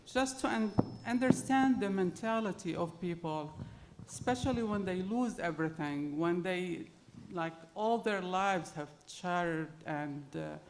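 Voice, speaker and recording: A woman's voice: lowish voice, unhurried, a little mellow, slight background noise. A middle-aged woman with an Arabic accent telling personal stories to an audience as a panel speaker. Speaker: panel speaker telling her immigrant story.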